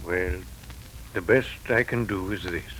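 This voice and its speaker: Stern, low-voiced judge, old radio. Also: male voice, fairly bright tone. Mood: stern, official. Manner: formal, measured, official. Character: stern, unyielding family court judge. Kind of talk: 1940s radio drama acting. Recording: noisy old 1940s radio recording.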